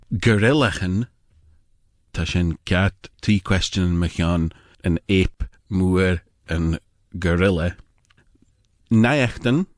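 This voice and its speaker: A man presents a radio quiz in an archive broadcast. His voice is very deep and he takes his time, setting each phrase apart deliberately. The sound is close and dry. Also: no room sound.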